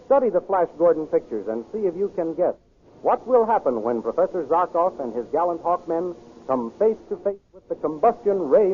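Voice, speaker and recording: A man announcing in 1930s radio style, brisk and enthusiastic. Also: high voice. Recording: tinny 1930s radio transcription with hiss.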